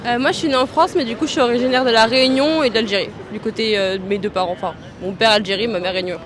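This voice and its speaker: A young woman talks quickly and lively, a little shy, while standing on a busy street. Loud traffic fills the background. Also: fairly high pitch. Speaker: passer-by interviewee. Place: busy city square outdoors.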